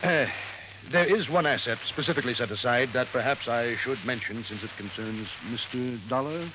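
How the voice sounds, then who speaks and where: A man's voice: mid-range voice, normal speed, close to an everyday voice. A man playing a formal, pedantic lawyer who stammers a little at the start of phrases, in 1950s radio drama style. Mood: fussy, formal. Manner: hesitant, formal, pedantic, stammering over first words. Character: fussy, formal male small-town lawyer reading a will. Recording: muffled, hissy vintage radio recording.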